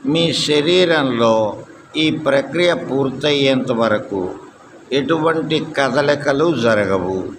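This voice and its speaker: A man guiding a meditation as a yoga instructor, calm and deliberate, leaving long pauses, with steady noise in the recording. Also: mid-range voice. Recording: clear steady background noise.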